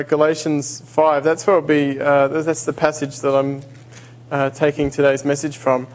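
A man preaching as a visiting guest in a church, hesitant and full of fillers and restarts, then reading scripture aloud. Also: on the high side. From Australia. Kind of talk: sermon. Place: church sanctuary. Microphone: handheld or stand mic.

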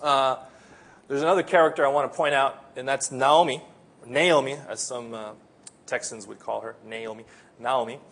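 A man from the Philippines who grew up in America, with an American accent, preaching an earnest sermon as a pastor, slowing down to stress key phrases. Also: medium pitch, fairly even intonation, fairly bright tone.

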